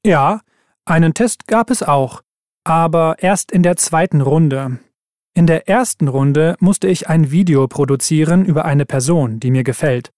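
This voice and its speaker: A man reading scripted exam texts aloud in an even, careful way. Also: on the high side, measured pace, no room sound, clean studio sound. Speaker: exam recording reader.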